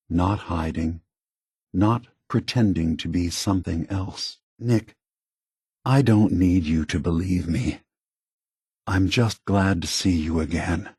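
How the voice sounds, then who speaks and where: An actor playing an aging prototype android leader in a video game, a gentle, philosophical idealist with a soft, husky voice and measured, earnest phrasing. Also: male voice, sits low, dry recording, clean studio sound, heavily acted. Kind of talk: acted video game dialogue.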